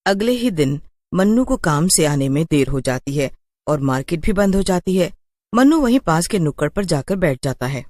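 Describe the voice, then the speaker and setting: A woman's voice: sits low, very animated, normal speed, a little mellow, no echo. A woman storyteller giving scripted narration of a horror tale.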